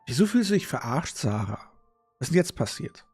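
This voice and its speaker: A man's voice: mid-range voice, big pitch swings, a little fast, a little mellow, husky, faint music behind. A man chatting casually as he hosts a live gaming stream.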